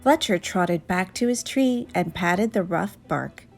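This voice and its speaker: Slow, creaky-voiced woman storyteller. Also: fairly low pitch, expressive. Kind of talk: expressive children's story read-aloud. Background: music.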